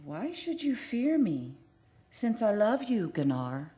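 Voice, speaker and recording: A woman's voice: mid-range voice, big pitch swings, slow, dark tone. A woman playing a loving spirit from the sea in a radio play, speaking solemnly in an archaic romantic way. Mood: yearning.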